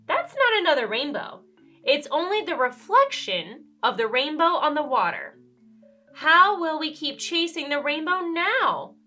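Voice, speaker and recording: A woman voicing a determined but concerned young girl in a children's storybook, earnest and expressive. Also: sits high, a little slow, soft background music, pitched above the performer's own voice, full character voice. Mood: apologetic, concerned.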